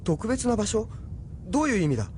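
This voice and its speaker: A man's voice: sits high, big pitch swings, brisk, a little mellow, a little hiss or hum. A man voicing an earnest, doubting young man, a reluctant hero, in an audio drama. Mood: bewildered. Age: young adult. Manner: earnest, questioning. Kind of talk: Japanese audio drama acting.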